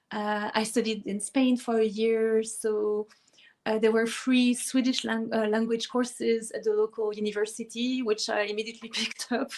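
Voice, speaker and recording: A middle-aged woman from France, whose first language is French, speaking in a conversational interview with light laughter and frequent restarts. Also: a little high. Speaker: guest musician.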